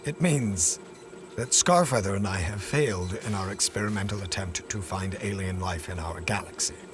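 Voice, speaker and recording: A man's voice: deep voice, normal speed, background noise, lightly acted. An actor playing a driven, grandiose male scientist in an audio drama, speaking formally and emphatically. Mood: measured.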